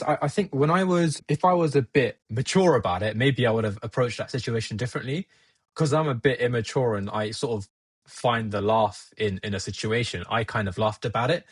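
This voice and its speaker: A young man whose first language is Gujarati, talking through his thoughts in a relaxed podcast chat and often laughing at himself. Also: medium pitch, husky, no echo. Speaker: podcast guest. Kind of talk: casual conversation.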